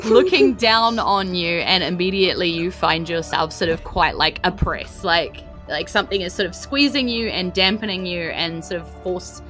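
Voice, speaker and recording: A woman game master with a New Zealand accent narrates a tabletop game in an informal, chatty style. Her voice is bright and slightly low, with music playing behind her. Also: normal speed, few pauses.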